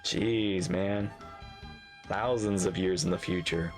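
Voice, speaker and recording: A man's voice: sits low, fairly even intonation, slow. A man giving casual live reaction commentary as a game streamer. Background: game music.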